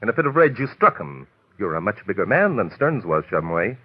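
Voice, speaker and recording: Suave amateur sleuth, articulate, theatrical, lively intonation, dull old radio sound. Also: male voice, lowish voice, brisk, husky. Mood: accusing. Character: suave, assured male amateur detective explaining crimes. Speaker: detective and story narrator. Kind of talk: old-time radio drama acting. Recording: muffled vintage radio recording.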